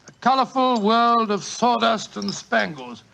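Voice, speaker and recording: Genial old English doctor, high pitch, gravelly creak, avuncular, chatty, vintage radio drama storyteller. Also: male voice, animated, unhurried, sharp tone, exaggerated voice. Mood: genial, nostalgic. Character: Doctor Watson, genial older Englishman reminiscing by his fire.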